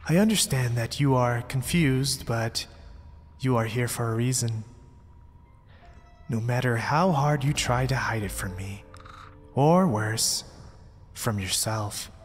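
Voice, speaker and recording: A man's voice: medium pitch, animated, slow pace, pauses often. A man playing a mysterious young zealot, polite, pompous and persuasive, in an ASMR roleplay drama. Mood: coaxing. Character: mysterious, smooth-talking young male zealot cult recruiter. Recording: long reverb, background music. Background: music.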